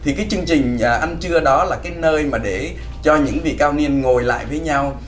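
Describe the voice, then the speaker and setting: A man's voice: a little high, quick pace, faint music behind. A man acting as interpreter, explaining community services with frequent fillers. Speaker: co-host interpreter. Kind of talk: explaining in Vietnamese.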